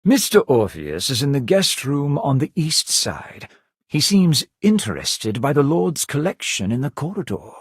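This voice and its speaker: A courteous, composed servant of a grand house in a game cutscene. His formal delivery moves through lively rises and falls, with a slightly husky edge and a very dry, close sound. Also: on the high side, no echo, exaggerated voice. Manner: formal, courteous, measured diction. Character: polite, composed male servant of a grand manor. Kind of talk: scripted video game dialogue.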